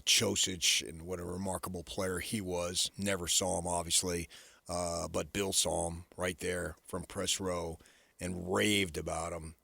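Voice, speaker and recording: Low, gravelly American sports radio man. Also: a bit bright, no echo. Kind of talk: casual sports talk, reminiscing and opining. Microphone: close studio radio microphone.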